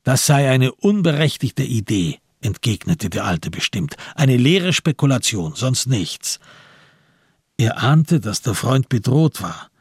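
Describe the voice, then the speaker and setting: A man's voice: mid-range voice, sing-song, slow, breathy edge, no room sound, clean studio sound. A man reading scripted narration as the narrator of a radio crime drama.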